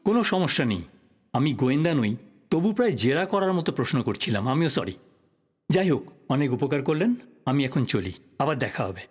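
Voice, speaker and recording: A man's voice: mid-range voice, sing-song, a little fast, husky. A man playing a polite but persistent investigative journalist in an audio drama, asking courteous questions conversationally. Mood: probing, courteous. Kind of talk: Bengali audio drama acting.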